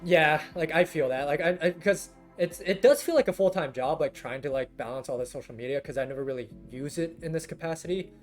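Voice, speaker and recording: A young man with a slightly high voice chats loosely with his stream audience, stopping and restarting often. His voice sounds scratchy and worn after hours of talking. Faint music plays behind him. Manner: rambling, halting, many fillers and restarts. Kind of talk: casual live-stream chat with viewers.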